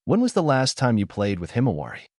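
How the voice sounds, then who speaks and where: A man's voice: fairly low pitch, huge pitch swings, quick pace, a little mellow, dry recording. A man voicing a weary, blunt adult male advisor who presses hard with questions, his voice close to the reader's own. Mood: pressing.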